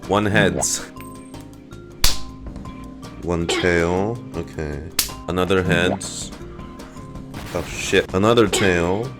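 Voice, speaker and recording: A man's voice: deep voice, extremely slow, pauses often. A man streaming his live reaction to a game story in a casual, informal commentary style. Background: game music.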